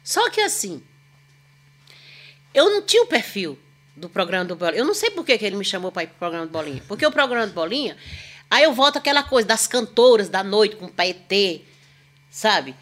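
A chatty woman. She tells long, rambling stories with plenty of exclamations. Her speaking voice sits at a middle pitch. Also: sing-song, brisk, fairly bright tone. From Northeast Brazil. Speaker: podcast guest, singer. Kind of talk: casual storytelling chat.

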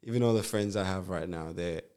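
Deep-voiced young man from Zambia. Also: brisk, clean tone. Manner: relaxed, rambling, many fillers and restarts. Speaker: guest, nurse and musician. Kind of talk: casual interview chat.

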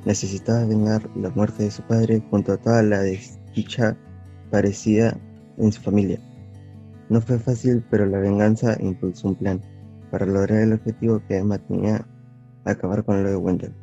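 Dark, muffled male radio narrator. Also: on the low side, little pitch movement, moderate pace. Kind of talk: scripted radio drama narration. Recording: dull sound with guitar music behind.

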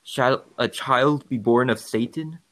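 A man's voice: medium pitch, a little slow, fairly bright tone, close to an everyday voice. A man voicing a bitter, grieving creature in a formal, eloquent dramatic reading of its last testament. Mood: accusing, bitter. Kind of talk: dramatic reading of a written account.

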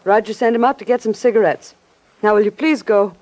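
A woman's voice: medium pitch, a little fast, a little mellow, close to an everyday voice, rough recording. An actress playing a cool, reluctant young woman in an old radio detective drama. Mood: irritated. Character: guarded, curt young woman, gangster's girlfriend.

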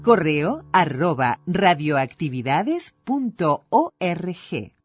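A woman's voice: lowish voice, big pitch swings, extremely slow. A woman reading a scripted radio promo announcement. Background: music.

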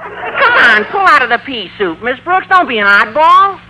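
A man playing an excitable, eager teenage high school boy in an old radio comedy, with a theatrical, slangy delivery. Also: moderate pace, full character voice. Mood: teasing, breezy. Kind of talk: 1940s-50s radio comedy acting. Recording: noisy old-time radio recording.

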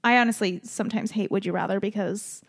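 A woman's voice: mid-range voice, fast talker, creaky. A young woman co-hosting a podcast, chatting casually and animatedly, laughing often and arguing her points. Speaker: podcast co-host. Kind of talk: casual chat.

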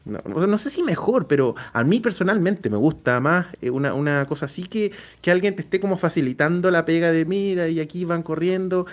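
A man's voice: on the high side, lively intonation, a little fast, few pauses. A man with a Chilean Spanish accent, chatting casually as an interview host, colloquial and often correcting himself as he spells out examples.

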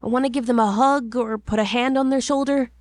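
A woman playing a tender-hearted young apprentice who narrates a fantasy audio drama in a gentle, measured, earnest way. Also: fairly high pitch, fairly even intonation, no echo, close to an everyday voice. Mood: sympathetic, restrained.

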